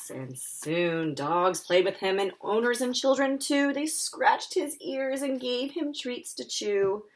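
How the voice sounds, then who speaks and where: A woman, an author, reading her children's picture book aloud and talking it through for a young audience, with expressive delivery. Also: on the high side, slow pace. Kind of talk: live children's story time reading and friendly explanation.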